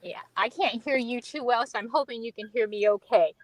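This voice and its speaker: A woman's voice: fairly high pitch, a little fast, a crowd in the background. A woman auditioning as an actor, casually introducing herself over muffled, lo-fi call audio. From Jacksonville, USA.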